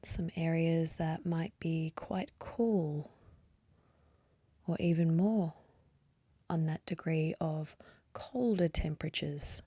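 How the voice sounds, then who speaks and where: Slow, breathy woman guiding meditation. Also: low-pitched, no echo. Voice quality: soft, breathy, partly whispery. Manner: gentle, unhurried, with long pauses. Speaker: meditation guide. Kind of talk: guided meditation, slow calm instruction.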